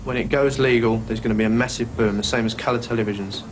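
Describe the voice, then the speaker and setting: A man's voice: mid-range voice, steady tone, fast talker, slight background noise, rough recording. A man giving his informal opinions in an interview.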